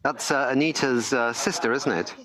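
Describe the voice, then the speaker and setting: Informal male panel host, medium pitch. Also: normal speed, a bit bright, low-quality recording. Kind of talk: unscripted live panel hosting.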